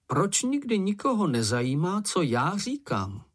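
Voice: mid-range voice, big pitch swings, slightly rough, pitched above the performer's own voice. A storybook reader voicing an earnest young male creature who is fussy, pedantic and easily exasperated.

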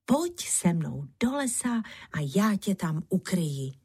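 A woman's voice: fairly low pitch, big pitch swings, darkish tone, slightly rough, exaggerated voice. A woman voicing a sly, scheming peasant husband in a storybook tale. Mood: soothing. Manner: scheming, reassuring. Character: sly peasant husband, trickster fooling his wife.

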